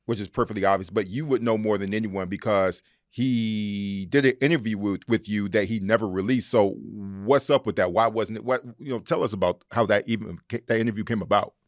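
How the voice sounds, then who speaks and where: A man's voice: fairly low pitch, brisk, few pauses, no room sound, clean studio sound. A man hosting an interview, asking questions in a blunt, informal way. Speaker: podcast host.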